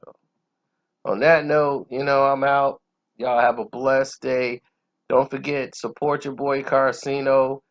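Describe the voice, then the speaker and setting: A man's voice: mid-range voice, flat delivery, slow pace. A man hosting a casual movie review, talking informally and conversationally about his opinions. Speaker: movie reviewer, channel host. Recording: dull lo-fi sound.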